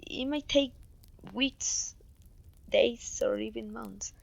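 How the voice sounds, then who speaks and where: A woman voice acting a clumsy, kindly, apologetic witch in an ASMR fantasy roleplay, with a gentle, polite, slightly old-fashioned way of talking. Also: on the high side, takes it slowly, vocal fry, lo-fi sound, heavily acted. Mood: apologetic, reassuring.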